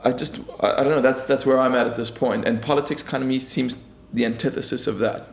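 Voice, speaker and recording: A man's voice: medium pitch, steady tone, fast, vocal fry, slight background noise. A man from South Africa co-hosting, musing in casual, philosophical chat. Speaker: co-host. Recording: roomy echo on voice.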